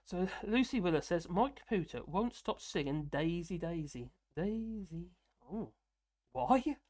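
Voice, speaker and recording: A man from the UK with a fairly high voice, hosting a live stream and rambling casually through viewer comments with frequent chuckles. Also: animated, unhurried, darkish tone. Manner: rambling, self-deprecating, chuckling often.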